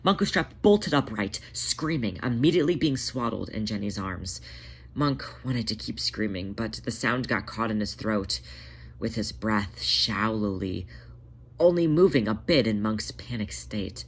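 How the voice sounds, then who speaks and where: A narrator reading scripted fiction in a dramatic, deliberate way, drawing out certain words. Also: mid-range voice, lively intonation, husky.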